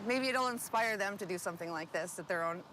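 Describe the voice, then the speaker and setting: A woman's voice: medium pitch, fast, sharp tone, low-quality recording. A woman talking casually as a local interviewee, recorded on location outdoors. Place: outdoors in a snowy neighbourhood. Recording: faint outdoor background noise. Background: outdoor ambience.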